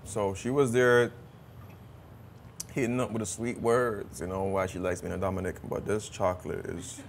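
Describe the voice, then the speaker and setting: A Jamaican man chatting casually as a travel vlogger, relaxed and talkative with some stammered restarts. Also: lowish voice, normal speed, slight background noise. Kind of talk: casual travel vlog chat.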